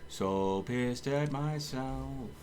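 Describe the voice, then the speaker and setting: A man's voice: mid-range voice, extremely slow, background noise. A man giving casual, blunt and grumbling live commentary while streaming a game. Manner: blunt, grumbling, mildly cursing.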